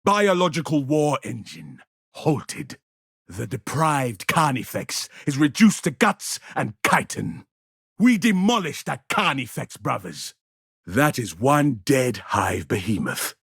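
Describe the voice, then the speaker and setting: An actor playing a grim, commanding space marine veteran with a gravelly voice in a video game. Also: male voice, mid-range voice, sing-song, slow pace, fairly bright tone, no echo, studio quality, heavily acted. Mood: grim triumph. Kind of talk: video game combat barks.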